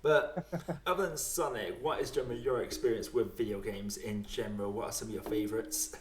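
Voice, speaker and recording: A man hosting a casual podcast chat, wandering into tangents in a halting, self-deprecating way. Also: lowish voice, reverberant, slight background noise. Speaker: podcast host.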